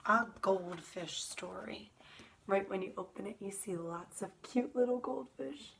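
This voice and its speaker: A woman doing an animated, expressive children's storytime read-aloud, speaking directly to young listeners with friendly asides. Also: mid-range voice, a little slow, gravelly, slight background noise. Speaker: teacher reading picture books aloud to children.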